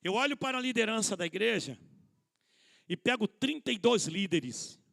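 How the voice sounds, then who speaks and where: A man with a strikingly high voice, a gravelly rasp and a sharp, bright edge gives a conversational sermon at a church conference. He is a middle-aged pastor who calls the congregation brothers and leans on rhetorical questions. He pokes gentle fun at himself along the way. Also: lively intonation, normal speed. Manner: addresses listeners as brothers, rhetorical questions, self-deprecating humor. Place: church hall.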